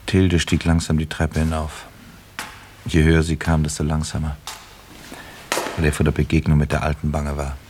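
A man narrating a literary German radio play as a calm, detached storyteller. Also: very deep voice, normal speed, long pauses, background noise.

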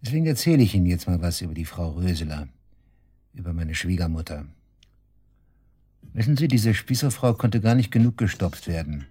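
Casting: cocky shady suspect, dark low husky voice, taunting and coarse, radio play. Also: male voice, very animated, brisk, pauses often, full character voice. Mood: sneering, scornful. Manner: taunting, cynical, coarse colloquial talk. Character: cocky, sneering male murder suspect, shady club worker. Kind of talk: German radio drama acting, interrogation dialogue.

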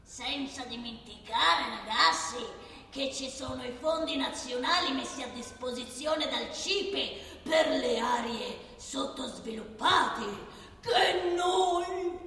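A woman acting a cynical, gloating politician in a radio play, grandstanding and mocking, with oily assurances that turn shrill. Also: slow, sharp tone, exaggerated voice. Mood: smug, gloating. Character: cynical, gloating female politician making shifting, hollow promises. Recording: very echoey hall, distant, faint noise.

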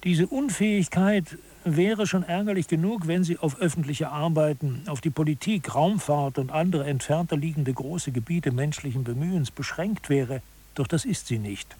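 Higher-voiced, husky, disillusioned author. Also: male voice, moderate pace, mellow. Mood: wry, resigned. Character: male author, disillusioned observer of human incompetence. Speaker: narrator. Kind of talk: scripted audio drama narration.

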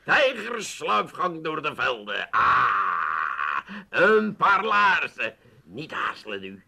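A man's voice: mid-range voice, wildly swooping pitch, slow, vocal fry, sharp tone, heavily acted. An actor playing a dim, gung-ho man infatuated with soldiering, speaking theatrically. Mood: heroic, excited. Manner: theatrical, swooning with enthusiasm. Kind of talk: comic radio drama acting.

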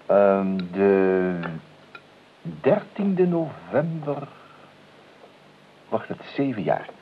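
A muffled old radio recording with some noise features an evasive, over-polite nephew. His delivery is hesitant and fussy, with very animated intonation that jumps as he exclaims and defers. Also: lowish voice, extremely slow, exaggerated voice. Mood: politely uneasy. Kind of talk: Dutch radio play acting.

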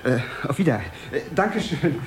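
Radio drama: male actor as anxious, apologetic male clerk, hesitant delivery, very lively pitch. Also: brisk, faint music behind, close to an everyday voice. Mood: flustered haste. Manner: hesitant, polite, stammering apologies. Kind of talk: 1970s German-language radio drama acting.

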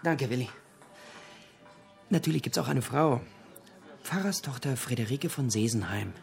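An actor playing a talkative literature buff in a radio drama, lecturing theatrically in pithy, punchy fragments. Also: male voice, medium pitch, animated, normal speed, creaky, soft background music. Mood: relishing, theatrical. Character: talkative literature buff holding forth in a pub.

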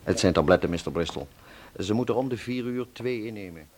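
Casting: soothing physician in radio serial, low voice, measured, polite authority. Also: male voice, animated, a little mellow. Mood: soothing, composed. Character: calm, reassuring doctor at a bedside. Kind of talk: Dutch radio thriller serial acting.

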